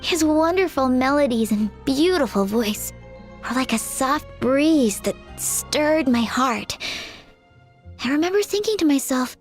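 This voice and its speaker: A woman's voice: high voice, lively intonation, a little slow. A woman voicing a shy, earnest young aspiring bard in a video game, hesitant and apologetic in the way she talks. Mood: wistful, anxious. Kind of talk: video game character acting. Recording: music behind the voice.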